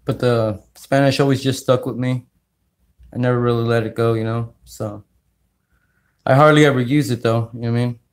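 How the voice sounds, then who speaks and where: A man from California hosting a casual livestream, talking slowly with many fillers as he greets viewers. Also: medium pitch, fairly even intonation. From California, United States. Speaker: livestream host. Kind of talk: casual livestream chat while sorting coins.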